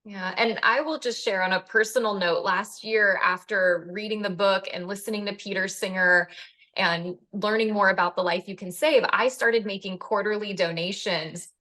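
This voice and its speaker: A woman's voice: medium pitch, steady tone, normal speed, pushed and bright. A woman hosting a community conversation, talking with enthusiasm in long, flowing sentences. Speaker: host.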